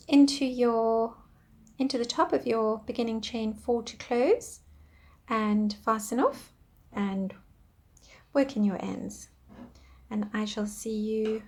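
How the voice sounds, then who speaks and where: A woman talks you through a crochet pattern at an unhurried pace, counting each stitch aloud. Her voice sits a touch high and sounds a little worn, with a throat-clearing huskiness. She stays patient and gentle throughout. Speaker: crochet instructor. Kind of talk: step-by-step craft tutorial.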